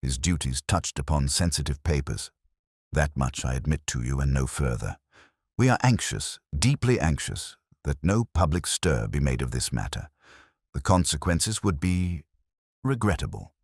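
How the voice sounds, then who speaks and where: A man voicing a grey-haired, cautious senior government official in an audiobook, measured, careful and formal. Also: extremely low pitch, lively intonation, husky, no echo, studio quality, heavily acted. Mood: anxious, guarded.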